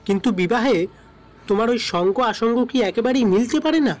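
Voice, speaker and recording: A woman acting an earnest, doubting young friend in an audio drama, questioning and gently protesting. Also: on the high side, lively intonation, moderate pace, close to an everyday voice. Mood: doubtful, earnest. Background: background music.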